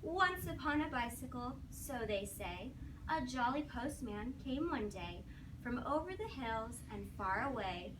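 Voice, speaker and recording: Lady teacher doing storytime w/ little kids, asks them stuff, encouraging, bit high voice, mic kinda far off. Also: lively intonation, a little slow. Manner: encouraging, questioning, addresses children directly. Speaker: teacher reading aloud to young children. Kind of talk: interactive classroom storybook read-aloud. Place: classroom with children. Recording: noisy classroom, distant microphone.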